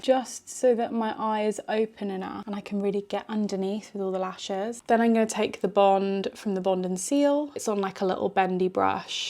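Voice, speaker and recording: Chatty young woman, a bit congested. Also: medium pitch. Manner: chatty and self-deprecating, swears casually. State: slightly congested from a cold. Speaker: beauty vlogger. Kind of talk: casual chat while doing a beauty routine. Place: bedroom at a dresser mirror.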